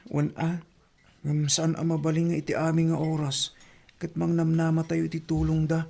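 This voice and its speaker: An actor playing a dutiful young student and elder brother in a radio drama, earnest and subdued, with a creaky, mellow voice. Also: medium pitch, monotone, moderate pace. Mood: wistful, subdued. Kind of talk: radio drama acting in Ilocano.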